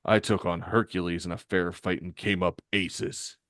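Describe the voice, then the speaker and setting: A man's voice: low voice, steady tone, moderate pace, fairly bright tone, dry recording, clean studio sound. A man voicing a cocky, threatening comic-book supervillain with a sneering, taunting delivery. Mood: smug, sneering.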